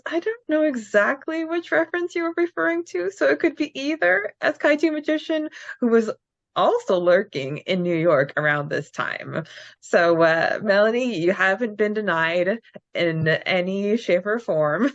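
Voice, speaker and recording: A woman co-hosting a casual fan podcast, chatty and quick to laugh as she reads out answers. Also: on the high side, expressive, dry recording, dull audio. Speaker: podcast co-host.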